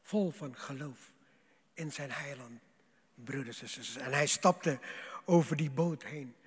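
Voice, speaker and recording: Husky male preacher in an echoing hall. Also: medium pitch, unhurried. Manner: dramatic, emphatic delivery with repeated phrases. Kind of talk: sermon to a congregation. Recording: echoing hall, long reverb.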